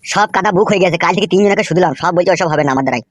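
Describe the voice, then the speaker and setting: A man's voice: high-pitched, rapid-fire. A man voicing a foul-mouthed young cartoon villager, complaining crudely and emphatically. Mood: indignant. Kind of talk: comic Bengali cartoon dialogue.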